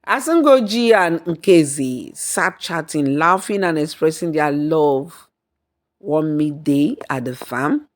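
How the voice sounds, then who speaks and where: A woman narrating a folktale in a deliberate, dramatic storyteller's manner. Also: low voice, expressive. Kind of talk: scripted folktale narration with acted dialogue and song.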